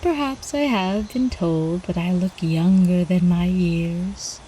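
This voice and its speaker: Woman playing a slow, velvety vampire countess. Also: fairly low pitch, dark tone, slight background noise, low-quality recording. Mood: coy, teasing. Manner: slow, refined, coyly teasing, formal old-fashioned diction. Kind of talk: ASMR roleplay acting.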